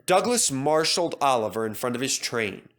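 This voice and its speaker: A man's voice: medium pitch, very animated, normal speed, a bit bright. A man narrating a children's storybook as a dramatic storyteller.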